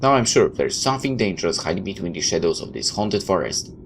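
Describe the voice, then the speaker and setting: A man's voice: low voice, big pitch swings, fast talker. A man reading a visual novel aloud like a dramatic storyteller, tossing in his own asides. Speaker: let's player. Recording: clear background noise.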